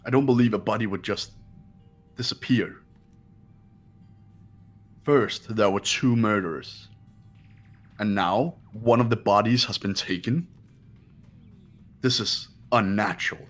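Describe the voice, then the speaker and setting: A man's voice: lowish voice, measured pace, long pauses, faint music behind. A man voicing a haughty, impatient young man in an amateur mystery game dub, curt and commanding. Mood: incredulous.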